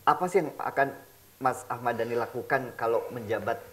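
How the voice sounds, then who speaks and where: A man's voice: medium pitch, moderate pace, fairly bright tone. A man hosting a casual, playful interview, slangy and mixing in English words. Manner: jokey, slangy, peppers talk with English words. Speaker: talk show host.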